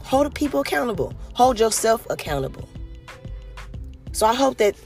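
Hoarse Californian podcast host, mid-pitched, earnest and rambling over soft background music. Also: sing-song, normal speed. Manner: earnest, rambling, many "you know" fillers. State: hoarse, a little raspy. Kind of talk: motivational podcast monologue.

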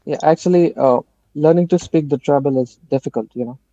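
A man's voice: fairly high pitch, brisk, warm tone, no room sound. A man whose first language is Marathi, a football podcast panelist with dry, insistent humor. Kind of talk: casual football chat. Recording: dull dry lo-fi sound.

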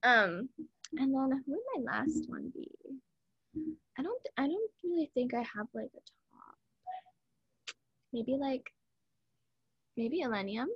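A woman's voice: high-pitched, sing-song, slow, pauses often, husky, no echo, dull audio. A young woman from Hawaii co-hosting a podcast in casual chat, hesitant and searching for words, then gushing. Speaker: podcast co-host.

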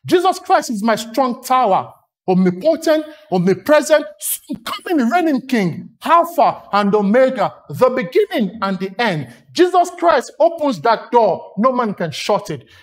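Lively Nigerian man preaching. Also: extremely high pitch, unhurried, a little hiss or hum. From Lagos, Nigeria. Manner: emphatic, rhythmic repetition and long lists. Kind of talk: sermon.

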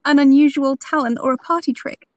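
A woman's voice: high-pitched, steady tone, fairly quick, dry recording, muffled recording. A woman interviewing a new model in a friendly, encouraging way. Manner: upbeat, encouraging. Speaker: magazine interviewer.

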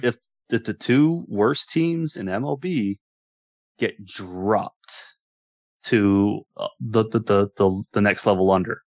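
An American man with a low voice chats casually as a sports podcast co-host. He comes across as agreeable, feeling his way through sentences and repeating words. The recording is dry, without room sound. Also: slow pace, no room sound. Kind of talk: casual chat.

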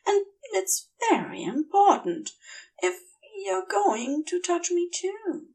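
A woman voicing a dazed, earnest, gentle male angel who pleads in a halting, breathy way. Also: very high voice, big pitch swings, far from the mic, pitched above the performer's own voice, exaggerated voice, rough recording. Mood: fretful, insistent.

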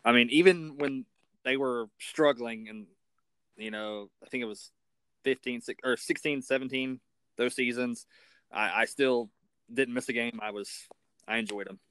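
A man interviewing a guest in a casual, halting way, as a sports fan. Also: medium pitch, steady tone, fairly bright tone, clear, resonant tone, no echo.

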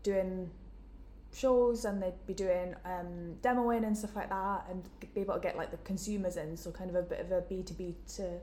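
A woman's voice: lowish voice, fairly even intonation, moderate pace, dark tone, slight background noise. Young Geordie woman, chatty. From Newcastle, England. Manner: chatty, many fillers like "like" and "um". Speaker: interview guest. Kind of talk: casual interview answers. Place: indoor room, in person.

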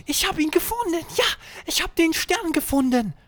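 A man voicing a small, excitable, wide-eyed boy in a children's bedtime story. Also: extremely high pitch, normal speed, dry recording, pitched above the performer's own voice. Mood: delighted.

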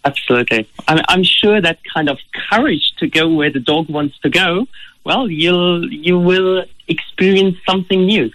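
A man's voice: high-pitched, moderate pace, fairly bright tone, poor audio. A man from Germany with a German accent, a guest in an interview, answering earnestly and often repeating words as he thinks. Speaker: guest, ultra runner.

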